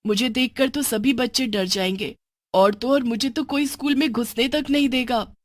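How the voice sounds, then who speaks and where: A woman voicing a young half-goat witch girl, an animated, eager daughter in a storybook cartoon. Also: fairly high pitch, moderate pace, no room sound, studio quality, pitched above the performer's own voice, lightly acted. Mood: pleading. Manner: excitable, pleading, turning gleefully menacing.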